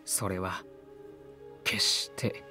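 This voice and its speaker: A slow, solemn male chronicler. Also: sharp tone, soft background music, full character voice. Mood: solemn, wistful. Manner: measured, solemn. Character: solemn, wistful male chronicler of lighthouse tales. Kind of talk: scripted dramatic prologue narration.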